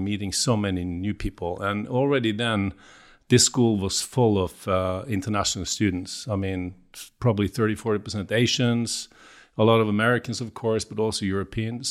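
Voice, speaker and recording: Low-voiced Norwegian man, halting and hesitant. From Norway. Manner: hesitant, with many fillers and restarts. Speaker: guest. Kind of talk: casual interview.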